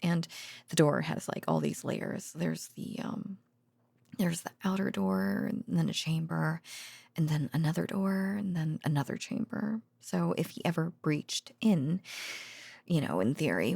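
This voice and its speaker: A woman playing a gentle researcher girlfriend in an ASMR bedtime roleplay, murmuring haltingly in a soft, breathy voice that often drops to a whisper. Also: low voice, dry recording, full character voice. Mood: casual, murmured. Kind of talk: intimate ASMR audio roleplay, conversational bedtime monologue.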